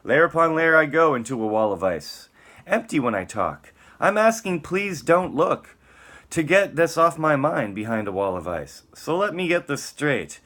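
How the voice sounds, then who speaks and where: A man's voice: fairly high pitch, big pitch swings, moderate pace, fairly bright tone. A man giving a dramatic, theatrical spoken-word reading, moving between emphatic lines and muttered casual asides.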